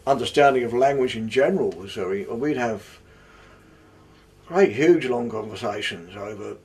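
A man's voice: medium pitch, lively intonation, normal speed, darkish tone. Older man recalling a friend fondly. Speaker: interviewee, friend. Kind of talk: reminiscing interview. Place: room full of books, indoors.

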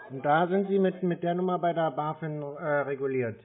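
A man's voice: a little high, fairly even intonation, normal speed, a crowd in the background. A man in a phone conversation, talking in a sceptical and insistent manner. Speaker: scam baiter taking a call. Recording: tinny phone-like audio.

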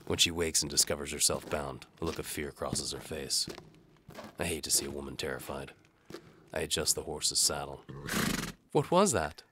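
Very deep, wry male narrator. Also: moderate pace, noisy background. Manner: wry, deadpan. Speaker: narrator of an audio drama. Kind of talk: first-person noir-style scripted narration.

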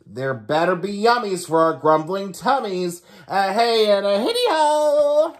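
A man's voice: high voice, animated, measured pace. Man chanting as hungry storybook ants, sing-song swoops. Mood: eager chanting. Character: crowd of hungry marching ants chanting hopefully.

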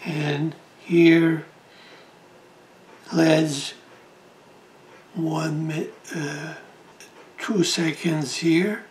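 Man, tutorial narration, extremely slow pace, clipped phrases, breathy whispered endings, faint background noise. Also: fairly high pitch, fairly even intonation, a little mellow. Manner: halting, clipped short phrases. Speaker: electronics project presenter.

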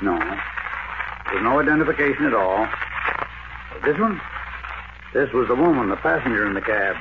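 An actor playing a calm, matter-of-fact morgue attendant in a 1940s radio drama. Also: male voice, medium pitch, moderate pace, narrow, tinny audio, natural voice. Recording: phone-thin old radio recording with loud hiss.

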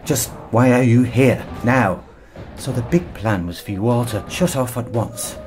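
A man's voice: mid-range voice, measured pace, over music, lightly acted. An actor playing a sarcastic, condescending, self-serving traveller with menace in his audio drama performance. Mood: menacing.

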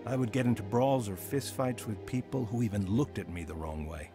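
A man's voice: on the low side, a little fast, lightly acted. An actor playing a reflective, remorseful man who narrates his own youth in a radio drama. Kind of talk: scripted first-person drama narration. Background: music.

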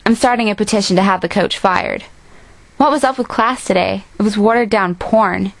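A woman's voice: mid-range voice, moderate pace, creaky, no room sound, lightly acted. A young woman voicing a sassy, blunt teenage best friend in an amateur audition. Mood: blunt, gossipy. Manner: snarky, blunt. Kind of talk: amateur audition line reading.

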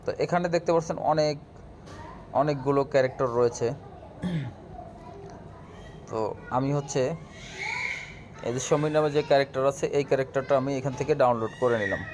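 Guy walking viewers through an app step by step, mid pitched voice, noticeable background noise. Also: brisk, pauses often. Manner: instructional, addresses viewers directly. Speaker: tutorial host. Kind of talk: casual step-by-step app tutorial. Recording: clear background noise, room ambience.

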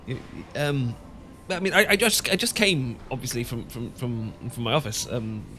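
A man's voice: mid-range voice, normal speed, bright, forceful tone, soft background music, natural voice. A man with a British accent playing an awkward, sentimental English professor in a romantic ASMR roleplay, stammering and hesitant. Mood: awkward, nervous. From Britain. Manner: stammering, hesitant, full of false starts. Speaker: audio roleplay performer.

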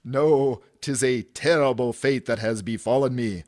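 A man's voice: medium pitch, a little slow, darkish tone, no room sound, clean studio sound, lightly acted. A man playing a self-pitying, theatrical has-been stage actor in a comedy audio drama, declaiming like a mock-Shakespearean. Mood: woeful, dramatic. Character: pompous, fading old-school stage actor, self-pitying.

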